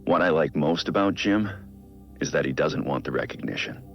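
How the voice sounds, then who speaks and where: A brooding video game vigilante doing an audio log, super deep gravelly voice, measured n earnest, bit muffled w music under. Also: male voice, animated, exaggerated voice. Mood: reflective, earnest. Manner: measured, reflective, confiding.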